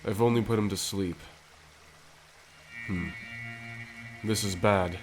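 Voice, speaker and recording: A man plays a mysterious male visitor with a low voice and total composure. His delivery is formal, deliberate and cryptic, in audio drama style. Music is clearly heard behind him. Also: fairly even intonation. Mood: calm, cryptic. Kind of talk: scripted audio roleplay acting.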